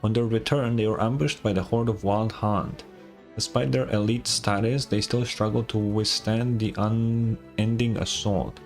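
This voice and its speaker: A man's voice: lowish voice, steady tone, a little slow, a little mellow, faint music behind. A man livestreaming a game, commenting casually in a laid-back, slangy way and reading game text aloud.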